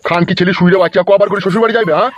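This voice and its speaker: A man as a cranky, high-pitched old village father. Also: fairly even intonation, racing, natural voice. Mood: scolding. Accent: rural West Bengal. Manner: blunt, mocking, scolding. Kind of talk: comedy cartoon dialogue. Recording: dull, very noisy with clicks.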